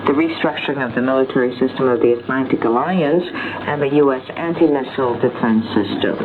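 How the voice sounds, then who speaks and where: Low-voiced woman newsreader on noisy shortwave radio. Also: fairly quick, narrow, tinny audio. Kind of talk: scripted international radio news bulletin. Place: radio studio, heard over shortwave. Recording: noisy band-limited shortwave radio broadcast. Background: loud shortwave static and noise.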